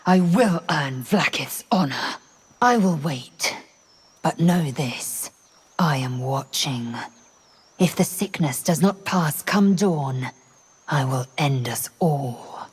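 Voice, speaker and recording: Game role: fierce alien female fighter, low, deliberate, clipped and threatening. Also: lively intonation, husky, exaggerated voice. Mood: menacing. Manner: intense, menacing, clipped declarations. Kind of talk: video game dramatic acting. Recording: music behind.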